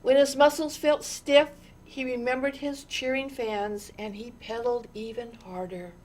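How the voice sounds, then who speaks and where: A woman with a fairly high voice narrates a storybook for children. Her delivery is very slow and deliberate, shaped by dramatic pauses and expressive stresses on the words that matter. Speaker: storytime reader.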